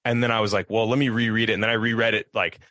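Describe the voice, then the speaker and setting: Thirties male podcast host, fast and energetic, bright lowish voice, dry close sound. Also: no room sound. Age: 30s. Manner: animated, quotes himself in lively voices. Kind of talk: casual chat about books.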